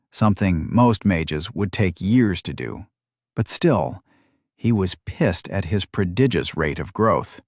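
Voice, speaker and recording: Guy narrating a fantasy audiobook, lowish voice, dry close sound. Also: a little mellow, dry recording. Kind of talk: scripted fantasy story narration.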